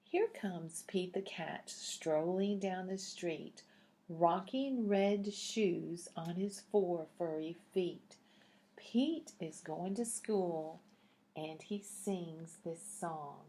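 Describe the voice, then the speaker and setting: A woman's voice: fairly low pitch, extremely slow, mellow. A woman reading a picture book aloud to young children in a teacherly way, putting questions to the listeners.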